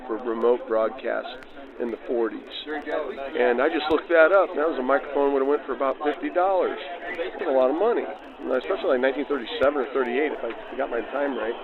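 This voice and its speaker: Narrow, tinny old-radio sound with plenty of people audible behind. A man with a medium-pitched voice talks casually as an interviewed musician, rambling along in easy slang. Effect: backdated 1940s radio filter. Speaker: jazz band musician, interviewee. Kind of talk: casual, spontaneous chat. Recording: tinny radio sound, busy crowd around.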